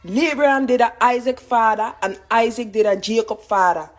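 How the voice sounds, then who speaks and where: A woman, reading scripture aloud in a deliberate way. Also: fairly high pitch, steady tone, faint music behind.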